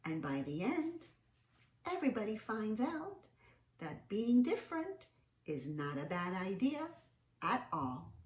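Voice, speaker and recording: Very animated woman reading to children. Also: medium pitch, measured pace. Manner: expressive, with gasps, interjections and questions to listeners. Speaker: children's read-aloud host. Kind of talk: animated storybook read-aloud for young children. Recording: roomy, echoing sound.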